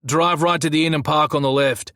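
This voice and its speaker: A polite security guard with a Scottish accent put on by a male narrator, raised in pitch. He is courteous and official, an audiobook character recorded close and dry. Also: fairly even intonation, fairly quick, a bit bright, dry recording, natural voice. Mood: brisk, courteous.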